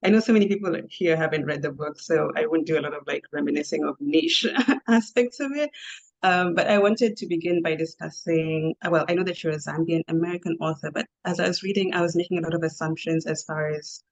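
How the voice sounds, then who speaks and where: A woman's voice: low voice, brisk, poor audio. A woman moderating a live book discussion in an informal, conversational way. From Kenya. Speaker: moderator, interviewer.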